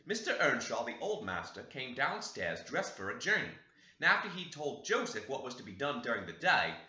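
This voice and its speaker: A man's voice: mid-range voice, huge pitch swings, normal speed, sharp tone, heavily acted. A man voicing a talkative, homely woman housekeeper who tells family history with chatty emphasis in an audiobook. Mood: reminiscing. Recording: roomy, echoey, slightly dull sound.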